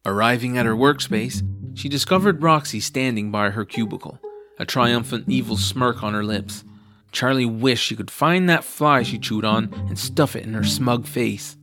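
A man delivers scripted story narration for an audio drama. His voice is medium in pitch, and music plays clearly underneath as he reads. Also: normal speed.

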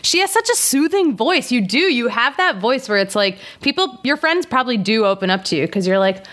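A woman's voice: fairly high pitch, expressive, a little fast, fairly bright tone. A woman in her thirties hosting a podcast over a video call, chatty and rambling, laughing often. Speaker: podcast host. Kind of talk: casual podcast chat.

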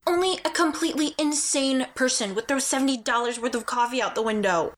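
A woman's voice: sits high, fairly quick, slight background noise, natural voice. A woman in an audio drama playing a dramatic, easily embarrassed schoolgirl with a sulky, whiny manner. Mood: indignant.

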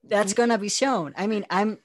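A man's voice: high voice, normal speed, no echo, clean studio sound. A man from Spain with a Spanish accent, chatting casually as an interview guest and acting out his own thoughts as he goes. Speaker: interview guest, audio drama creator.

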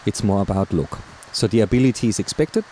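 A middle-aged man, a native German speaker and musical theatre performer, chatting casually on a podcast, halting with restarts and dry humor. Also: fairly low pitch, expressive, mellow, a little hiss or hum, rough recording.

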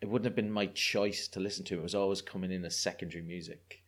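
This is an Irish man in his thirties with a notably low voice, chatting as a guest on a podcast. He tells his stories in a loose, self-mocking, anecdotal way. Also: steady tone, fast talker, a bit bright. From Ireland. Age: 30s. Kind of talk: casual chat.

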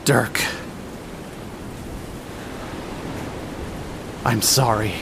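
A woman's voice: steady tone, a little slow, gravelly, full character voice. A woman playing an anguished, pleading character in an audio drama. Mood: remorseful. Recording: noisy, rumbling audio, far from mic.

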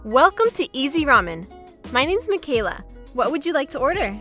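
Over light music, a woman plays a friendly satyr lady behind a shop counter in an audio comedy. Her voice is very high and bright. She speaks with polite, apologetic customer-service manners. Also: sing-song, a little fast, full character voice. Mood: welcoming. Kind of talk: comedic audio drama acting.

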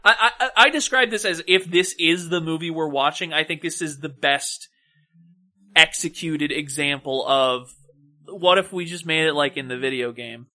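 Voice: mid-range voice, normal speed, bright, forceful tone. A podcast co-host talking casually about a film in a wry, opinionated, sweary way.

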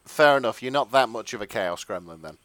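A man with a theatrical, expressive delivery, co-hosting and narrating a comic dramatic reading with casual chat. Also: medium pitch, brisk, dry recording. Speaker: narrator and co-host.

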